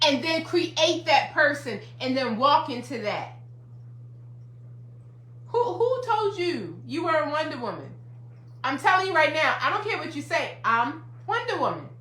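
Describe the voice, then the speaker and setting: A woman's voice: high-pitched, big pitch swings, a little slow, fairly bright tone, reverberant. A woman in her fifties, a counselor and life coach, giving an emphatic, repetitive motivational talk to a livestream audience. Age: 50s.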